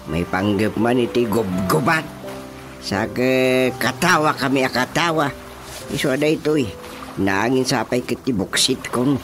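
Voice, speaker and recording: A man's voice: medium pitch, lively intonation, measured pace, lightly acted. An actor playing an easygoing, boastful old village father with an Ilocano accent in a radio drama. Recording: prominent music under the dialogue.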